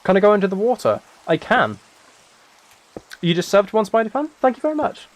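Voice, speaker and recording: A young British man chatting casually as he streams a game, rambling and thinking out loud as he goes. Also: high-pitched, big pitch swings, brisk. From United Kingdom. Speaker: live streamer.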